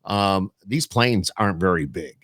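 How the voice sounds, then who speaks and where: A man's voice: low voice, lively intonation, unhurried, a bit bright, dry recording, studio quality. A man hosting a podcast in casual interview chat, with a folksy, rambling way of talking. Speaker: podcast host.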